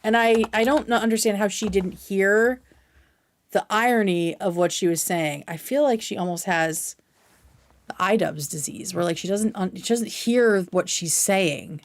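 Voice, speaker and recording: A woman's voice: mid-range voice, moderate pace. A woman hosting a livestream, giving informal, opinionated commentary and explaining her points at length. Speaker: livestream host. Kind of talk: casual commentary reacting to clips.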